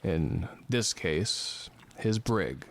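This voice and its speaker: A literal-minded man of medicine in an audio drama. His voice is fairly low and very unhurried. He explains things plainly and didactically. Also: lively intonation, full character voice. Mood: didactic, calm. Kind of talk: scripted audio drama acting.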